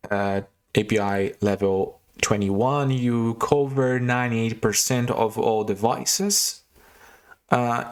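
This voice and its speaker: A young man from Brazil with a Brazilian Portuguese accent, casually walking through a coding tutorial with frequent fillers and restarts. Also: medium pitch. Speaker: tutorial host.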